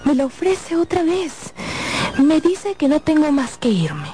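An actress playing a tormented, pleading young opera singer in a radio drama. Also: female voice, high-pitched, very animated, unhurried, mellow. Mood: torn, entranced. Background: music.

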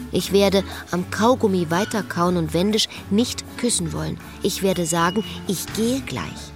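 A woman with a fairly low voice, narrating a literary radio play. She is bitter and watchful, observing a former lover with sardonic precision. Music plays softly behind her.

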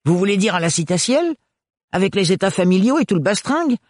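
Audiobook figure: male reader as a gruff burly servant, fast and loud, dry sound. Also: high-pitched, big pitch swings, dry recording, voice pushed up. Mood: blustering. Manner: blustering, gruff, rapid. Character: gruff burly working man, loyal and rough.

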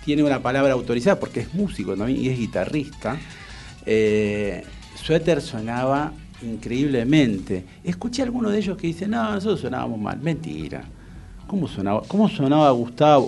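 A middle-aged Argentine man with a Rioplatense accent, hosting a radio interview in a chatty, relaxed and informal way that wanders off into asides. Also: mid-range voice, normal speed, gravelly, faint music behind. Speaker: radio host. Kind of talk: casual radio interview chat.

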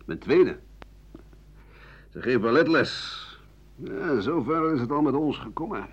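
A man's voice: medium pitch, lively intonation, unhurried, breathy edge. An actor playing an embittered ageing writer and doctor who rambles sardonically through his memories in a radio monologue. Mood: wry, relishing. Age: older. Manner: rambling, bitter, sardonic, with muttering and dry chuckles. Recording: dull 1980 radio play recording.

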